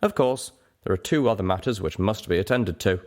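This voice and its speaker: A man voicing a gentle male pony legal clerk, formal and matter-of-fact, in a story reading. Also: on the low side, animated, fairly quick, lightly acted. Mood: businesslike.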